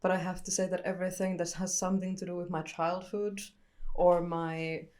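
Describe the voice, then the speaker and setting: A young woman from Finland, a photographer answering interview questions candidly and thoughtfully, often pausing to search for words. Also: lowish voice, flat delivery, darkish tone. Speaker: photographer guest.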